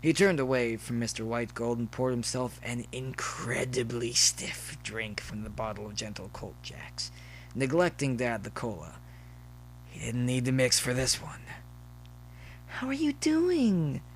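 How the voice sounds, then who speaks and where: A man's voice: mid-range voice, normal speed, rough recording. A man reading scripted story narration as the narrator. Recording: faint noise behind the voice.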